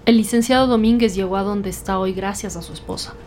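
A woman's voice: mid-range voice, fast talker, darkish tone, slight background noise, close to an everyday voice. A woman playing a composed, businesslike senior detective in an audio drama. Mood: brisk, analytical. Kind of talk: dramatic podcast dialogue.

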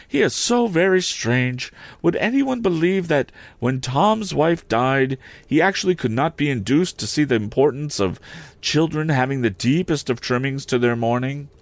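A man voicing a prim, self-pitying older lady who complains in a high, drawn-out, affected voice. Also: lively intonation, no echo, natural voice. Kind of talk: audiobook character reading.

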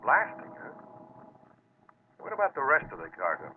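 An actor playing a cool, laconic deep-sea diver in an old American radio drama, dry and matter-of-fact with an American accent. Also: male voice, huge pitch swings, normal speed. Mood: cool, questioning. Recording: noisy, narrow-band old radio recording.

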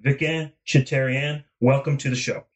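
A man's voice: medium pitch, steady tone. Guy hosting a podcast interview, mid voice, kinda far from the mic. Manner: polite, halting questions. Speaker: interview host.

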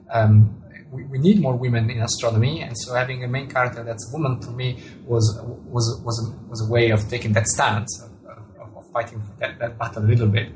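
A man's voice: mid-range voice, rough recording. An Italian-accented man speaks in a mid-range voice with some noise behind him. He answers interview questions thoughtfully, hesitating and doubling back on words as he builds each sentence. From Italy. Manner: hesitant, repeats words while searching for phrasing. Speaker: author and astronomer being interviewed.

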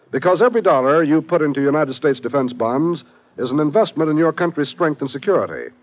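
Animated vintage radio announcer, man. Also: on the high side. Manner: polished, persuasive. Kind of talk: 1950s radio announcing and public service appeal. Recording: dull vintage radio recording.

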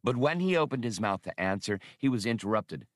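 A man narrates an adventure audiobook in a low voice that moves widely up and down. He reads deliberately and expressively, and the sound is dry and close. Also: big pitch swings, no echo. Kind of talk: scripted narration of a science fiction adventure novel.

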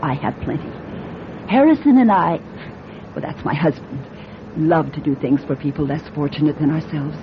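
An actress playing a gracious, motherly married lady in a radio drama, gentle and reassuring. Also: moderate pace. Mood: soothing. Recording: dull, noisy old radio recording, vehicle rumble.

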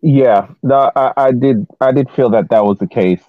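A man on a sports podcast giving casual soccer analysis in halting phrases, through thin phone-like audio. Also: mid-range voice, no echo.

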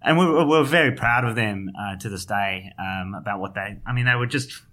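A journalist with a South African accent, a guest on a podcast, talking in a thoughtful way with many restarts and fillers. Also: male voice, lowish voice, brisk, pushed and bright. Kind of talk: podcast interview.

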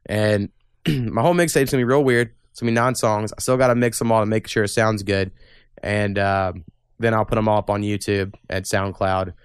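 A man's voice: on the low side, fairly even intonation, fairly quick, smooth, no room sound, studio quality. A young man hosting a casual podcast, talking bluntly and opinionatedly and swearing freely. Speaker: podcast host. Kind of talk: casual political chat.

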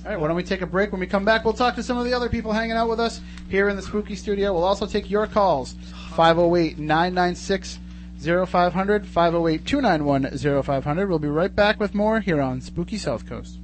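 Chatty male radio host, fairly high voice, casual live interview delivery, clearly noisy humming studio recording. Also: a little fast. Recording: radio studio, loud noise and hum.

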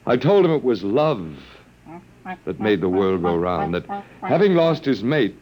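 An actor playing an earnest, gently wry last man on earth, halting and coaxing, in old radio drama style. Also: fairly low pitch, very animated, lo-fi sound, a crowd in the background. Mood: wry explaining. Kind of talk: 1950s radio drama acting. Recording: noisy vintage radio drama recording.

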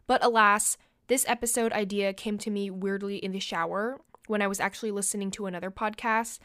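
A woman's voice: mid-range voice, fairly even intonation, brisk, a bit bright, no room sound, clean studio sound. A young woman hosting her own podcast, chatting casually and confidingly with lots of fillers, her nose a little stuffy from allergies. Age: young adult. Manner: chatty and confiding, many "like" and "you know" fillers. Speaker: podcast host. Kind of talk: casual solo podcast chat.

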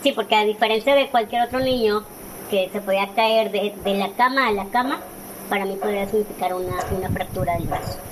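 A Mexican woman in her forties talks as an interview guest, recounting personal experience in a conversational way. Her voice sits at a medium pitch, with clearly audible noisy ambience behind it. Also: brisk. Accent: Mexican Spanish. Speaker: interview guest, author and speaker.

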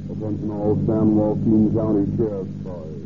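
A man acting as a 1930s radio police dispatcher, pitched low and heard through a narrow, tinny old broadcast. He gives bulletins in a clipped, official, brisk manner. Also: little pitch movement, lightly acted. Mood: official, urgent. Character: brisk male police dispatcher calling all cars. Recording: muffled old radio broadcast, music bleed.

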